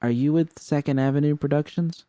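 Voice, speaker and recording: A shy, guarded young woman acted by a male narrator in an audiobook story. The answers are short, questioning and innocent, raised in pitch and recorded very dry. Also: a little fast, dark tone, clean tone, no room sound, close to an everyday voice. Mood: wary, confused. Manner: terse, questioning.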